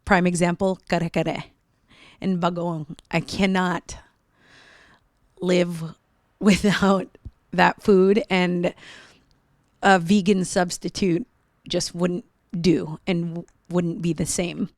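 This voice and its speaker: A woman's voice: lowish voice, flat delivery, slow pace, pauses often, a little mellow, dry recording, clean studio sound. A woman from the Philippines speaking earnestly about her identity in an interview. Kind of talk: personal interview.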